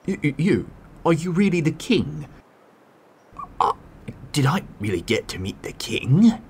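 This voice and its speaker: A man voicing a poor old beggar in an animated fairy tale, humble and earnest, trusting and stubborn. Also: a little high, unhurried, slight background noise. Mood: awed. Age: older. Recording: music under the voice.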